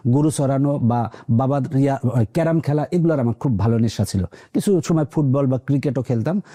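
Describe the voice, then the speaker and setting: Very dark-toned Bangladeshi man, slightly high. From rural Bangladesh, near the Indian border. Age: 30s. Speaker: interview guest. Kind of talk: recounting life story conversationally.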